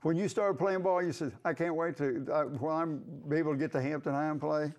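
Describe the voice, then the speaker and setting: Higher-voiced man hosting a sports interview. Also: fairly even intonation, brisk, darkish tone. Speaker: sports talk show host. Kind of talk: conversational local sports interview.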